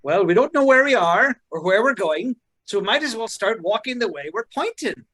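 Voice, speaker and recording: A woman playing an earnest, anxious but brave hobbit servant in a script table read, pleading and excitable. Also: mid-range voice, moderate pace. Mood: plain, earnest.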